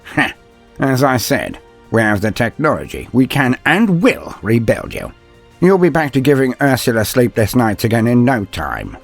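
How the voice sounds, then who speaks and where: A man playing a gruff, blunt older male inquisitor and worldly mentor in an audio story, hemming and teasing in a fatherly way. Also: mid-range voice, huge pitch swings, normal speed, faint music behind. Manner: hesitant euphemisms, throat-clearing, teasing bluntness.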